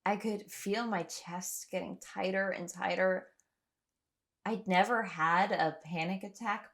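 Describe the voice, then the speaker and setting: Woman acting anxious, exhausted woman, slow-paced. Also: mid-range voice, gravelly, fairly bright tone. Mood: shaken, recounting. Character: anxious, exhausted young woman trapped on an island. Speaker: lead actor in an audio drama. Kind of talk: audio drama acting, first-person recollection and dialogue.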